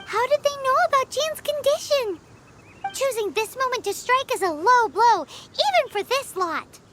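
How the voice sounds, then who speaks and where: A woman voicing a small floating fairy girl in a video game, childlike and squeaky, caring and chatty in an earnest, expressive way. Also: moderate pace, a little hiss or hum. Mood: worried. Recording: prominent background music.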